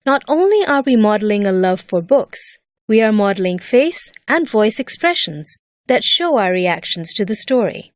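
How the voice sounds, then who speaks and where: Slow, expressive female lesson narrator. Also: fairly high pitch, dry recording, studio quality. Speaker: teacher narrating an educational video. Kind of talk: scripted instructional narration.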